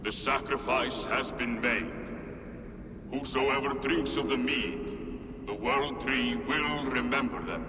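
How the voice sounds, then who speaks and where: A solemn ritual speaker , acted by a man, proclaims with slow ceremony. The voice rings in long reverb over clear rumbling noise. It is dramatic video game acting. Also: lightly acted. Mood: solemn, ceremonial.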